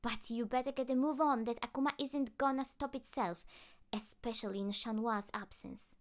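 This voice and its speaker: A woman voicing a tiny female fairy sidekick in an audiobook, caring, brisk and reassuring. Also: fairly high pitch, fairly even intonation, voice pushed up, lightly acted. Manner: urging, reassuring.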